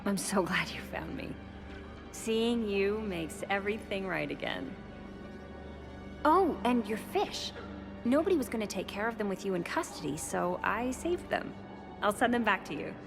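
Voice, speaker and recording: A caring, earnest young woman in a video game drama. Her voice is medium in pitch and openly emotional, with music clearly playing behind it. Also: expressive, moderate pace. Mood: relieved, fond. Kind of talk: video game cutscene dialogue.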